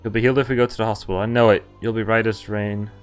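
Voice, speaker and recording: A man's voice: on the low side, flat delivery, rapid-fire, clear, resonant tone, faint music behind. A man streaming a visual novel, reading the game text aloud and breaking off into casual asides and reactions. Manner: reacts with asides between read lines.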